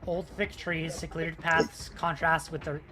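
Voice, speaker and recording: A man's voice: on the high side, flat delivery, measured pace, noisy background. A young man chatting casually and swearing freely, then reading a game sourcebook aloud with small stumbles. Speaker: podcast co-host.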